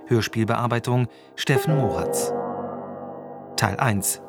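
A man's voice: mid-range voice, slow, gravelly. A man giving a scripted title announcement for a radio drama as its announcer. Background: loud music with gong tones.

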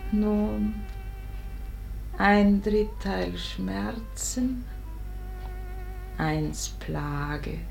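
Grieving mother, extremely slow, lowish voice. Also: creaky, heavily acted. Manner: wistful, hushed, lingering. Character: grieving, weary mother's ghost, tender and reminiscing. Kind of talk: 1940s German radio verse drama acting. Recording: 1949 radio recording. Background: violin music.

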